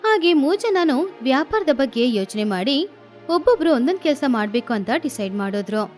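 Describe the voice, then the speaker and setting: A woman narrates a scripted children's story. Her voice is high-pitched, and soft music plays behind her. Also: very animated, moderate pace.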